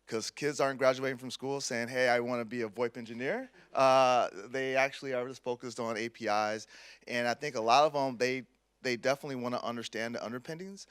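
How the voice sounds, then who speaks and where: A man's voice: mid-range voice, fairly bright tone, clean tone, no echo. A man with a Midwestern American accent, speaking passionately on a panel. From Detroit. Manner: passionate, earnest. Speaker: panelist, consultant.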